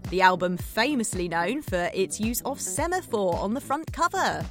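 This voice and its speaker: A woman's voice: medium pitch, big pitch swings, normal speed, faint music behind, natural voice. A woman playing a chatty, needy late-night radio DJ in a scripted drama, playful and theatrical, coaxing her listeners. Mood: eager, coaxing. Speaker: radio host.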